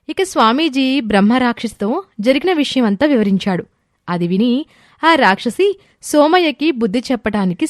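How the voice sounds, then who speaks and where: Higher-pitched woman narrating a story. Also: a little mellow, no room sound, clean studio sound. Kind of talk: scripted moral story narration.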